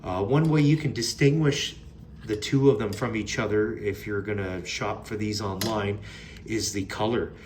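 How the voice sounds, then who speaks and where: Man, fairly low pitch, casual unscripted reviewer, plain-spoken, mildly critical, slight background noise. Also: moderate pace, darkish tone. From Canada. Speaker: product reviewer.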